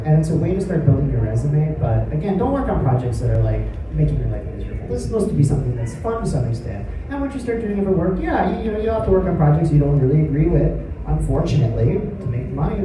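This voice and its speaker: A man speaking as a voice actor at a convention panel, answering questions in a rambling, self-deprecating, apologetic way. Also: on the high side. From United States. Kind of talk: casual panel Q&A. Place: large convention panel room. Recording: echoey hall, distant mic, room noise.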